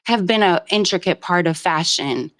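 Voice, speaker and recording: A woman from Jamaica phones in to a live stream and speaks at length. She is earnest and rambles, filling her pauses with "um." Her voice sits a little low. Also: fairly bright tone. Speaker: caller. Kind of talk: casual call-in commentary.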